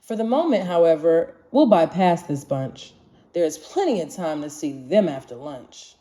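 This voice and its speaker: A woman reading a children's rhyming picture book aloud in an expressive, singsong way. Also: low-pitched, normal speed. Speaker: storybook reader.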